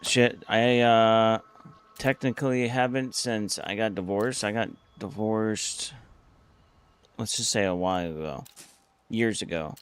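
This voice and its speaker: Middle-aged guy streaming games, low smooth voice, rambles and swears a lot. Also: takes it slowly. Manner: blunt, rambling, swears freely. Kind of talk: casual gaming commentary.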